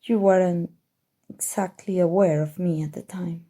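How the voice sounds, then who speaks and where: A woman voicing a smug, teasing, coolly menacing evil sorcerer in an ASMR roleplay, speaking softly and deliberately with breathy drifts into whispering. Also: fairly low pitch, steady tone, lightly acted. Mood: sly, measured. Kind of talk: ASMR roleplay, scripted character monologue.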